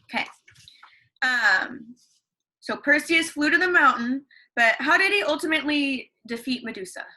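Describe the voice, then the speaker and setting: Brief: young woman teacher, bright ringing voice, upbeat, quizzes her class and answers herself, computer mic at home. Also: high voice, lively intonation, normal speed, low-quality recording. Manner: upbeat, asks questions and answers them herself. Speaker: elementary school teacher. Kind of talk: read-along lesson for young students at home. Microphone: computer microphone.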